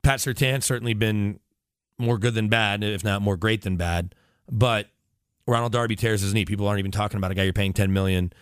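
A man's voice: fairly low pitch, brisk, smooth, no room sound, studio quality. A man talking as a sports radio analyst in casual conversation, opinionated and emphatic, often digressing as he makes his points.

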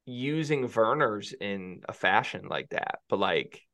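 A man's voice: lowish voice, expressive, slow pace, fairly bright tone. A man co-hosting a casual sports podcast, teasing his partner and laughing often. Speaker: podcast co-host.

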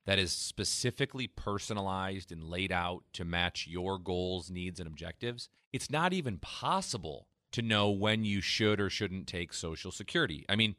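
A man hosting a retirement finance radio show, pitching a free tax analysis and explaining when to claim benefits. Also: lively intonation, moderate pace, hardly any pauses, sharp tone, dry recording. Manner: persuasive sales pitch, then explanatory. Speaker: financial advisor radio host.

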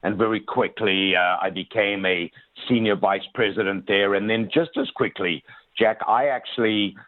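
A man's voice: fairly low pitch, normal speed. Man on a tinny phone line. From South Africa. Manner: earnest, many "uh" fillers, addresses the host by name. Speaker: guest, motivational speaker. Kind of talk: radio interview, personal storytelling.

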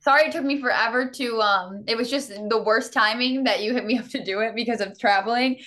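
A woman's voice: fairly high pitch, brisk, a bit bright. A woman in her twenties, a guest on a podcast, chatting casually in a laid-back, frank way. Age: 20s.

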